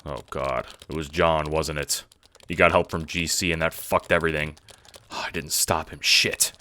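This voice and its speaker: A man's voice: very deep voice, steady tone, moderate pace, bright, forceful tone. A man playing a casual, foul-mouthed young time-traveling guy in an audio drama, talking in hesitant slang. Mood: distressed, angry.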